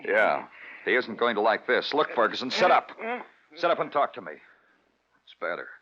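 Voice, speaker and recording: An actor playing a dogged, world-weary New York police detective in old radio crime drama style, clipped and deadpan. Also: male voice, lowish voice, normal speed. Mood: hard, pressing. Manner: clipped, sardonic questioning and deadpan narration. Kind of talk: 1950s radio crime drama acting and first-person narration. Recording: dull, muffled old radio recording with music.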